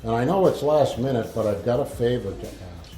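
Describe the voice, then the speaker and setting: Guy doing an earnest lil reindeer girl in a storybook, polite, mellow, roomy echo. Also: mid-range voice, expressive, a little fast, soft background music, lightly acted. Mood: eager. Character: young female reindeer, eager, polite, shy. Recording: echoey room.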